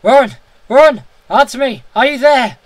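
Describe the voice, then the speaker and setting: A man's voice: very high-pitched, moderate pace, dry recording, pitched above the performer's own voice. A man voicing a frightened, devoted man who calls out anxiously to his friend in a horror story. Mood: desperate calling. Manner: anxious, shouting. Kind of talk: dramatic horror story reading.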